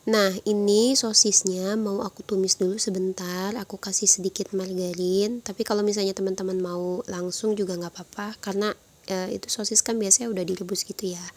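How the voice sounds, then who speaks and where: A woman's voice: medium pitch, flat delivery, brisk, darkish tone. A woman with a nasal, congested voice from a cold, casually explaining a recipe step by step in a voice-over. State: stuffy nose from flu. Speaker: home cook presenting a recipe. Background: background music.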